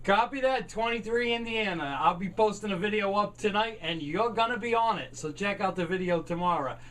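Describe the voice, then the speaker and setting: Man, American, high voice, friendly CB operator chatter, call numbers, distant mic, noisy static. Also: a little fast, a bit bright. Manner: friendly, repeats call signs and radio sign-offs. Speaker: CB radio operator and video maker.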